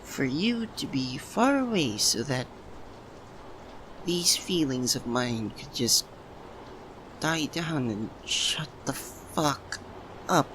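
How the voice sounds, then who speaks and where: A man acting a halting, lovesick young man. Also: mid-range voice, expressive, noisy background. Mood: sad, regretful. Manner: halting, breaks phrases with long pauses. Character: lovesick young man confessing to childhood best friend. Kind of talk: ASMR roleplay, emotional dramatic monologue.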